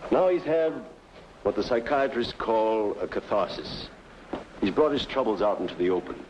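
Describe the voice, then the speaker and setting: An actor playing a genial, self-assured small-town doctor in an old-time radio drama, with a chatty clinical manner. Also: male voice, on the low side, lively intonation, normal speed, a little mellow, natural voice. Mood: explanatory. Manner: clinical, chatty, slightly florid. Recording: dull, faintly noisy old radio recording.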